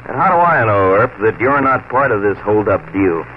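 A man's voice: on the low side, expressive, moderate pace, natural voice. An actor playing a hard, threatening man of authority in a 1940s radio western, curt and menacing. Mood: accusing. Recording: muffled, noisy 1940s radio broadcast.

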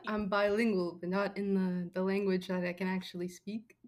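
Female podcast team member, medium pitch, informal conversational delivery. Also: little pitch movement, moderate pace, darkish tone. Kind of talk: casual chat.

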